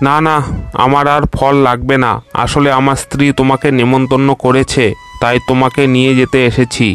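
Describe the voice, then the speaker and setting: A lazy, plump crocodile husband from a cartoon, played by a man, with a mid-range voice that sounds meek. His delivery is flat and steady and rarely lifts. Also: medium pitch, fairly quick, natural voice. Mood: easygoing. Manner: easygoing and meek. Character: lazy, plump, soft-hearted male crocodile. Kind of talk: cartoon voice acting.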